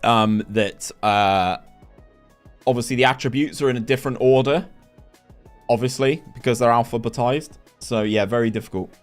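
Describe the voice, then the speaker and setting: Casting note: young man, chatty streamer, mid-pitched voice, light music underneath. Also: moderate pace, clean tone. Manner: chatty, reads chat aloud, many restarts. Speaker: gaming streamer. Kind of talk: casual live stream chat.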